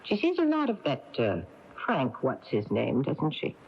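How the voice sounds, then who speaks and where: A woman's voice: extremely low pitch, wildly swooping pitch, measured pace, slightly rough, full character voice. An actress playing a nagging middle-aged mother in a radio drama, with a pointed, insinuating manner. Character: nagging, needling mother, long-suffering wife. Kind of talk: 1980s radio drama acting. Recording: dull, noisy taped AM radio broadcast.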